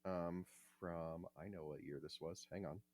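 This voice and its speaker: Low-voiced man co-hosting a podcast. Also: steady tone, unhurried, a little mellow. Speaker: podcast co-host. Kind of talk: casual explanatory chat.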